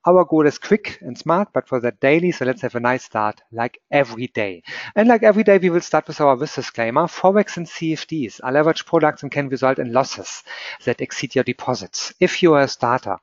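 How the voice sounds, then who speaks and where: A man's voice: medium pitch, expressive, brisk, no echo. German-accented man hosting a webinar. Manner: upbeat, scripted intro with disclaimers. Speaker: webinar host. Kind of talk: presenting a trading webinar. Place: office. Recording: dull lo-fi webinar audio, dry.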